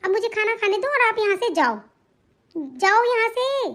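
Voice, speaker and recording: A woman's voice: extremely high pitch, big pitch swings, moderate pace, a bit bright, heavily acted. A woman voicing a domineering, scornful young daughter-in-law in a family cartoon drama, bossy and scolding. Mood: scornful, angry.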